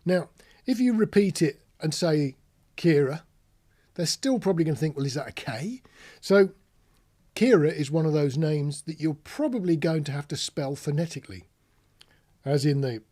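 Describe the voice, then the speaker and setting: A man's voice: fairly high pitch, mellow, breathy edge. A British man giving an informal instructional monologue as a host, chatting through examples and tossing in rhetorical questions. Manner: conversational, rhetorical tag questions, spells out words. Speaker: podcast host, radio instructor.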